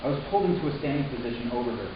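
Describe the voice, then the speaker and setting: A man playing an anguished, defiant young human narrator in a halting, intense dramatic story reading, recorded far off in an echoey room. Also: mid-range voice, expressive, lo-fi sound, background noise, lightly acted. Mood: tense.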